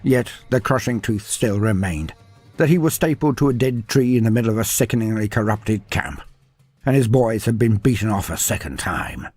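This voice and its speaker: A man tells a comic tale in a breathy voice that swings up and down with lively pitch. His delivery is wry and dry, drifting into playful sidebars. Faint music sits under the narration. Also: normal speed. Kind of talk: storybook narration with humorous asides.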